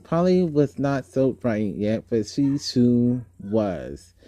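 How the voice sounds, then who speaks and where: A man's voice: medium pitch, big pitch swings, slow pace, dark tone, no room sound. A man reading a story aloud in an informal way, stumbling over words now and then. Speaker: amateur book reader. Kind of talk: read-aloud storytelling with casual asides.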